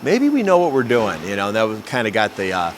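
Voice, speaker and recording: A man's voice: fairly low pitch, huge pitch swings, quick pace, heavy background noise. A man hosting a casual question-and-answer explainer, talking in a conversational, explanatory way like a shop fabricator. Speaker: host, exhaust shop fabricator.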